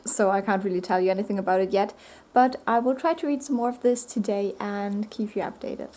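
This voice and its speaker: Chatty woman book vlogger. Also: mid-range voice. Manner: chatty, relaxed, with frequent "um" and "like". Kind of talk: casual vlog chat.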